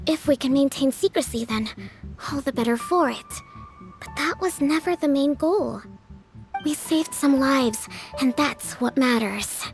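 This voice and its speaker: High sweet-pitched young leader in a game, calm and measured, sure of herself. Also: female voice, soft background music. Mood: calm, sincere. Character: young, composed, gently teasing female priestess leader. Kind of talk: video game voice acting.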